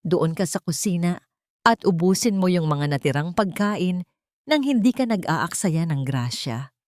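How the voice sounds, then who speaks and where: A woman's voice: on the low side, lively intonation, unhurried, darkish tone, dry recording, studio quality. A woman voicing a cold, stern, wealthy old matriarch in an audio drama, commanding and scolding.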